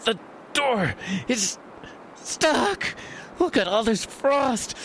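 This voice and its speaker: A man's voice: very high-pitched, sing-song, very slow, a bit bright, a little hiss or hum, heavily acted. An actor playing a desperate, freezing man stranded in a blizzard, strained and pleading, in a horror audio drama. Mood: panicked, freezing.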